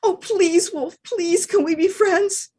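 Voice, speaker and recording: A woman's voice: very high-pitched, slow pace, warm tone, pitched above the performer's own voice. A woman voicing an anxious, eager young male dog in a spoken folk tale. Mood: pleading.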